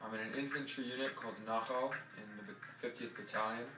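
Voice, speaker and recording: A man in his twenties from New York, a serving soldier, speaking in a steady, deliberate way with some ums. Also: mid-range voice, reverberant, recorded from a distance, over loud music. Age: 20s. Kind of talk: earnest interview testimony.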